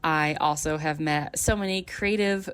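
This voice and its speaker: Female fan, deep for a woman, delivering a prepared voice-message testimonial. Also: unhurried, smooth.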